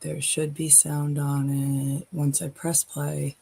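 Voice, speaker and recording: A woman's voice: sits low, little pitch movement, slow, vocal fry, dark tone, slightly rough. A woman hosting a live stream, chatting loosely and swearing casually as she sets up her screen. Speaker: livestream host.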